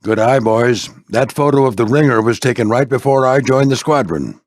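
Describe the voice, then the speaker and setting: An older man voicing a veteran warplane skipper in a video game, a gruff, fatherly flight instructor with folksy, military phrasing. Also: mid-range voice, moderate pace, no echo, clean studio sound, close to an everyday voice. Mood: encouraging, folksy. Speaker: squadron skipper and mentor. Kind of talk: animated video game character voice lines.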